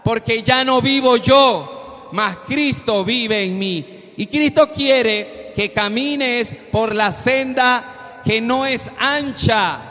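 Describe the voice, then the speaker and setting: Male preacher, very high voice, slow emphatic delivery with shouted peaks, long hall reverb. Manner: slow, emphatic exhortation with sudden loud peaks. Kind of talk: sermon.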